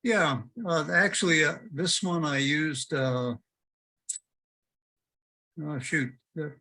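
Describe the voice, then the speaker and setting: An older man chatting casually on a video call, halting and searching for words, with dry jokes. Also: a little high, fairly even intonation, bright, forceful tone. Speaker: club member presenting his photo. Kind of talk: casual chat.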